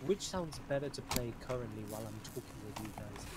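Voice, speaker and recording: A man's voice: mid-range voice, animated, quick pace. Swearing young Englishman over heavy noise. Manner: swearing, rambling, self-mocking. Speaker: game streamer host. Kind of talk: casual voice chat while gaming. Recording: loud background noise.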